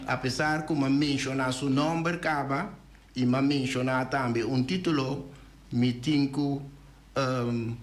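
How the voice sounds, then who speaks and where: A man hosting a radio talk show, speaking slowly and hesitantly and switching between languages as he opens and interviews a guest. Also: mid-range voice. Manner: slow, hesitant, mixes languages. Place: radio studio.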